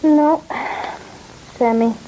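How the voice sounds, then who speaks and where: A worried middle-aged mother in an old radio play, her voice a touch high but mellow and soft-edged. She frets and stumbles over her words, with a faint hiss of the old recording behind her. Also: poor audio. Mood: fretting. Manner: hesitant, fretting, halting. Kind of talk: 1930s radio drama acting.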